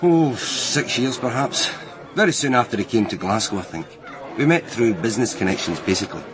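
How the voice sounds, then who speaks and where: An actor playing a well-connected, guarded businessman, measured and self-important, in a radio crime drama. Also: medium pitch, expressive, crowd noise, lightly acted, low-quality recording. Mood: solemn, guarded.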